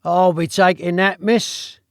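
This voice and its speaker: A man's voice: high-pitched, steady tone, slow, no echo, clean studio sound, voice pushed up. A man voicing a grizzled, sly, threatening thug. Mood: smug. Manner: smug, threatening.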